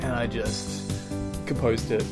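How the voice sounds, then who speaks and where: A man's voice: mid-range voice, level tone, slow. Mock-serious man with music behind. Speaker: podcast co-host. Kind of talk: casual comic chat with co-host.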